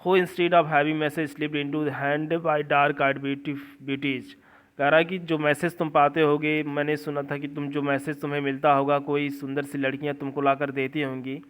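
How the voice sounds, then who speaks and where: A man with an Indian accent teaching English literature, reading slowly phrase by phrase and explaining it in a repetitive way. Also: fairly high pitch, level tone, fairly bright tone, smooth.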